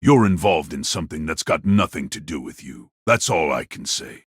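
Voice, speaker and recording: Man acting a very deep, stern warrior. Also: expressive, normal speed, breathy edge, no room sound, studio quality, exaggerated voice. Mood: curt, insistent. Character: stern, commanding male elite warrior guarding a woman. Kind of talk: audio drama character acting.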